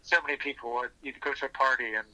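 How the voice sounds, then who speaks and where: A man's voice: medium pitch, fairly even intonation, fast. A man speaking as an interview guest, thinking aloud earnestly and reflectively, often restarting his phrases, over a phone call. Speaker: podcast guest. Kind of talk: reflective personal storytelling in an interview. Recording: thin, bright telephone line.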